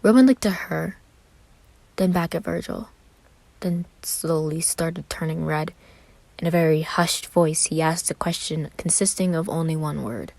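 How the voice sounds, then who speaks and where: A woman narrates a piece of fiction in a low-pitched voice, telling it expressively. The recording is dry and close, with little room sound. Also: measured pace, creaky, darkish tone, no echo. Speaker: fan fiction reader.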